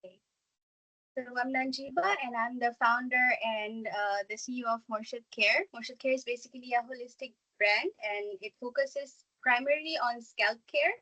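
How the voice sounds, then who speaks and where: Young woman from Bangladesh, guest speaker on a video call, bright voice pitched a bit high, chatty. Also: fairly even intonation, normal speed, poor audio. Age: 20s. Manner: chatty, apologetic about tech trouble. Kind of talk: online class talk.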